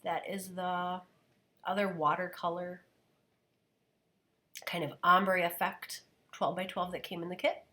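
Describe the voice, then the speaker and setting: A woman with a slightly low, soft voice narrates her craft work in a relaxed, chatty way. She is coming down with a cold and her voice is worn. She talks the listener through each small step as if to friends. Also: a little slow, pauses often. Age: adult, parent of a daughter. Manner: chatty, relaxed, describing steps as she goes. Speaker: scrapbooking video host. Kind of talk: casual craft voice-over narration.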